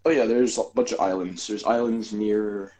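A man's voice: lowish voice, fairly even intonation, moderate pace, mellow. A man co-hosting a podcast, talking casually in a rambling way with lots of fillers and hedges. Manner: rambling, filler-heavy, hedging. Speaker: podcast co-host. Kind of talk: casual chat.

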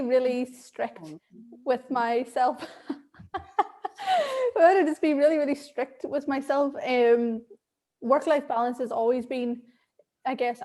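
A woman with a thick Irish accent talks candidly as a guest over a video call. Her voice sits a little high, and she laughs easily and trips over repeated words as she gathers her thoughts. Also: a little mellow. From Ireland. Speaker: interview guest. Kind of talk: casual video-call conversation.

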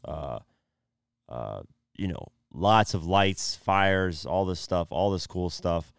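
A tired young man hosting his own podcast, losing his voice and keeping the energy low, talking casually with lots of fillers. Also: clean tone, no echo, clean studio sound. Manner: laid-back, subdued, lots of fillers like "you know" and "um". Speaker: podcast host. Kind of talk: casual solo podcast talk.

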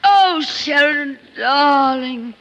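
A woman plays a theatrical society actress who gushes endearments in a breathless drawl, swooping high and bright. The sound is a dull old radio recording with a long reverb. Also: animated, slow pace, faint music behind, full character voice. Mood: gushing. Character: gushing glamorous society actress, affected and theatrical. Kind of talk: 1940s radio comedy acting.

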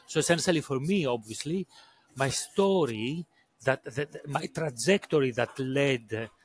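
A man from Greece with a Greek accent, a podcast guest telling his career story in a halting way, often repeating words and restarting sentences. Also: medium pitch, animated, breathy edge. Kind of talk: casual interview storytelling.

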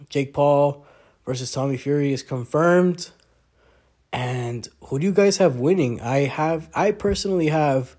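Young man, solo podcast host, congested, casual and rambling, average pitch. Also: dark tone. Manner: rambling, many fillers and restarts.

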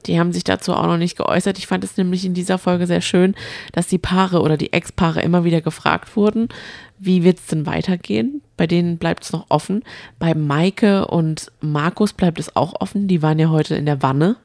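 Eine Frau plaudert als Co-Moderatorin eines Podcasts locker und mitfühlend über Reality-TV. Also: female voice, lowish voice, steady tone, moderate pace, gravelly, darkish tone, no echo, clean studio sound. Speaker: podcast co-host. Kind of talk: casual chat about reality TV.

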